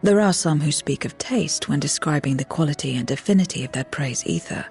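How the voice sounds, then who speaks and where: Deadpan, low-toned otherworldly void woman. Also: fairly quick. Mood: flat, disdainful. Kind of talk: video game cutscene acting. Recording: music behind.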